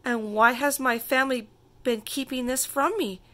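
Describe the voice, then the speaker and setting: A woman's voice: high-pitched, steady tone, normal speed, bright, forceful tone, pitched above the performer's own voice. A woman voicing a polite, curious little girl who asks earnest questions in a children's storybook. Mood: puzzled.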